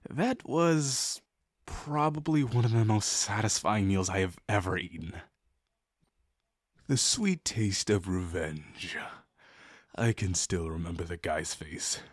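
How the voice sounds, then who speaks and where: Casting: male actor for sincere, lovestruck young best friend in intimate audio roleplay; slow, halting delivery, very expressive pitch. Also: no room sound, clean studio sound, heavily acted. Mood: amused, relaxed. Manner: hesitant, halting, full of pauses and sighs. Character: shy, sincere young man secretly in love with best friend.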